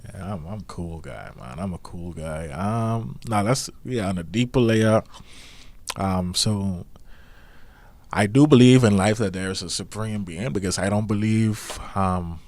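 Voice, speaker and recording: Casting: nasal young man, low voice, relaxed podcast co-host, dry close sound. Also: dry recording. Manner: calm and laid-back, many "um" pauses. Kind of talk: casual chat.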